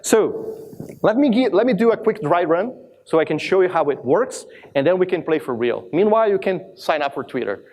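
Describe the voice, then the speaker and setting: A man from Brazil in his thirties with a strong Brazilian accent, giving a lively live demo talk at a conference, with many restarts and self-deprecating asides. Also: high voice, a little fast. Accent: Brazilian Portuguese. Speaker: conference speaker. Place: large conference hall with audience.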